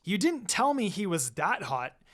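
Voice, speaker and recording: A story reader voicing an excitable, blunt teenage girl who gushes and blurts things out. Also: mid-range voice, measured pace. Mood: excited.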